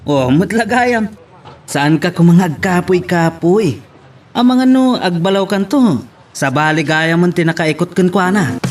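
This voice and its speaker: A man's voice: high voice, unhurried. A man with an Ilocano accent playing a jovial, teasing best friend in an amateur audio drama. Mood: teasing, urging. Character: jovial, teasing male best buddy giving love advice.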